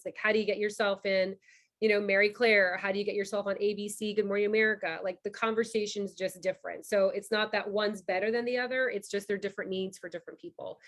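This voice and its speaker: A woman from Cuba hosting an online member orientation, talking fast and chatty with many fillers over a video call. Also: medium pitch. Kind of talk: casual online presentation.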